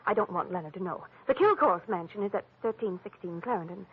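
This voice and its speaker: An actress playing a genteel, sentimental wife confiding hesitantly in a detective, in 1940s radio drama style. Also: female voice, lowish voice, lively intonation, fast, slightly rough. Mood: anxious, confiding. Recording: thin, phone-like old radio recording.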